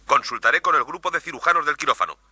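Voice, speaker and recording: A man's voice: on the high side, racing, pushed and bright. An actor playing a tense male mission chief in a radio drama, giving clipped, authoritative orders. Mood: alarmed.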